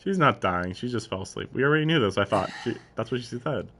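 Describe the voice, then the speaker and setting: A man's voice: mid-range voice, animated, quick pace, fairly bright tone, slight background noise. A man live-streaming, reading a story aloud and adding informal, questioning commentary of his own. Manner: informal, questioning asides between read passages. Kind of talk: reading narration aloud with casual, puzzled running commentary.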